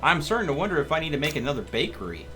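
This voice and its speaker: Animated man commentating gameplay over music. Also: fairly high pitch, racing. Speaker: gaming streamer. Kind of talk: casual live gameplay commentary, reading game text aloud. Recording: music behind the voice. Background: game music.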